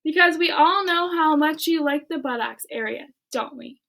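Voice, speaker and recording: A woman's voice: high voice, normal speed, vocal fry, fairly bright tone. A woman voicing a good-humored male schoolteacher from a storybook, teasing in a mock-serious way. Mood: wry.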